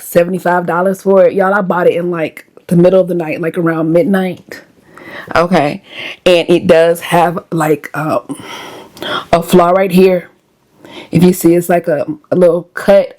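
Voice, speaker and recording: Hoarse woman, slightly low voice, chatty, talking to viewers, casual vlog. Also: darkish tone. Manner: chatty, talks straight to viewers. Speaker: lifestyle vlogger.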